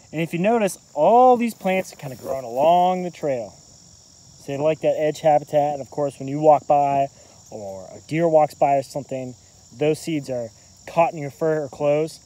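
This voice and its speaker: A man's voice: mid-range voice, mellow, slight background noise, low-quality recording. A man in his thirties, an environmental educator, giving a casual, easygoing lesson on a walk through woods and meadow, filmed on a phone held at arm's length. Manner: easygoing, explanatory, loose filler words. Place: outdoors in woods and trail meadow. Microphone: phone camera at arm's length. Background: faint crickets and insects.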